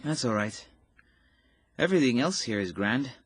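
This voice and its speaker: A man's voice: medium pitch, expressive, normal speed, slightly rough, dry recording, studio quality. A man playing a cheeky, talkative young wounded soldier in a period radio drama. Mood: cheerful, polite.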